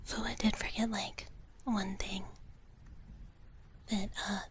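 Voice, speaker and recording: A woman livestreamer whispering breathily and gently into a close microphone as she chats playfully with viewers in ASMR style, in a big-sister dragon persona. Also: mid-range voice, level tone, slow. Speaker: livestream host. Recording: close-up whisper with clear background noise.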